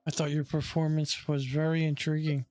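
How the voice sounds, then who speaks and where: A man's voice: on the high side, flat delivery, moderate pace, a little mellow, dry recording, soft background music. A man giving laid-back, casual gameplay commentary in brief reactions. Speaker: gamer playing through a story quest.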